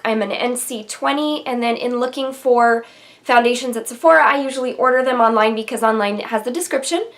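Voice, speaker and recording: A young woman with a slightly high voice talks straight into a close camera mic from her bedroom vanity. A head cold makes her sound stuffy and nasal. She chats eagerly and loosely, with frequent ums and restarts. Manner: chatty and enthusiastic, many ums. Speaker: beauty vlogger. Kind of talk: casual product review to camera. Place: bedroom with vanity and shelves, indoors.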